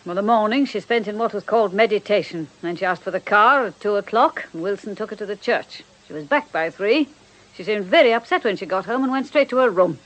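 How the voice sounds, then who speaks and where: A woman's voice: mid-range voice, expressive, a little fast, close to an everyday voice, rough recording. A woman playing an elderly housekeeper and former nanny in a radio drama, devout, bitter and grieving, with fervent righteousness and a servant's deference. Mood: confiding. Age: older.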